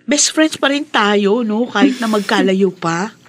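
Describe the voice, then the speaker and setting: A cheerful, loyal Filipino woman friend in a radio drama. Her voice is mid-pitched and she chats in a friendly, natural way. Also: expressive, unhurried. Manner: friendly, chatty.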